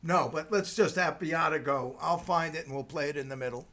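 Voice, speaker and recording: A man's voice: fairly high pitch, fairly bright tone. A man running the technical side of an online event, talking to himself as he shares a clip. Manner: brisk and talking to himself while sharing his screen. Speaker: technical producer. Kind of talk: casual event chat.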